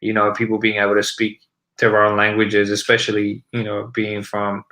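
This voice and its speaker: Bright, low-pitched Australian man musing. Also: monotone, moderate pace, low-quality recording. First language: Punjabi. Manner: reflective, rambling with frequent "you know" and restarts. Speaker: guest musician. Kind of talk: casual interview chat.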